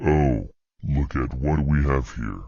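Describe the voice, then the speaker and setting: A man playing a cold, taunting serial killer in an audio horror drama, speaking slowly and with menace. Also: very deep voice, heavily acted. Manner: slow, deliberate, menacing. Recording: muffled, very noisy with clicks.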